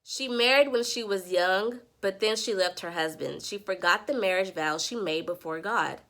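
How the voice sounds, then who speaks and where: A woman's voice: mid-range voice, normal speed, a bit bright. A woman who sounds congested from a sinus infection, earnestly summarizing scripture in a devotional vlog. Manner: earnest, preacherly teaching. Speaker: Bible study vlogger.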